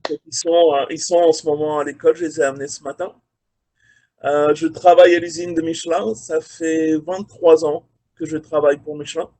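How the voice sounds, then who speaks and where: A man in his forties from France with a French accent, speaking as a career mentor on a video call from his factory office, relaxed and hesitant with many fillers. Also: a little high, brisk. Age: 40s. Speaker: career mentor, quality manager.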